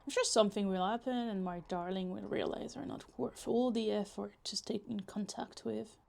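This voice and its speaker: A woman's voice: medium pitch, steady tone, moderate pace, creaky, a little mellow, lightly acted. A woman voicing a possessive, obsessive young woman who schemes and muses to herself in a roleplay ASMR monologue, sighing and slipping into half-whispers. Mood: musing, coldly resentful.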